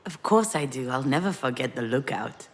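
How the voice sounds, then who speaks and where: A woman's voice: a bass voice, animated, moderate pace, husky, heavily acted. An actress playing a troubled, introspective woman in a narrative video game, speaking earnestly in dialogue and inner monologue. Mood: reflective. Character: troubled woman haunted by crash, anxious, introspective. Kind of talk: acted visual novel dialogue and inner narration. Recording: music behind the voice.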